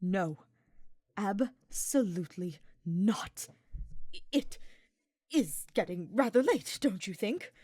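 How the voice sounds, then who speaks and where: A woman voicing a reserved, uneasy male mage who speaks in stiff, halting, formal phrases in a story reading. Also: mid-range voice, expressive, warm tone, slightly rough, no echo. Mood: nervous, reluctant. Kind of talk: dramatised fiction reading.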